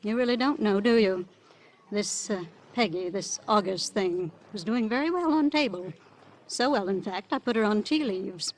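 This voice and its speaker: An actress playing a chatty, airily fussy tea shop hostess with arch, rambling politeness in classic American radio drama style. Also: female voice, medium pitch, normal speed, lightly acted. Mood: gossipy, airy. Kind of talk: 1940s-50s American radio drama acting. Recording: noisy old radio recording.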